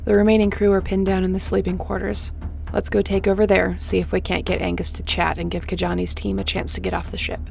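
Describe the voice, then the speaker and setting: A woman's voice: mid-range voice, creaky, darkish tone. A woman playing a tough, defiant starship captain in a sci-fi audio drama, speaking bluntly and with command. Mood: determined. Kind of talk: sci-fi audio roleplay acting. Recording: faint background noise.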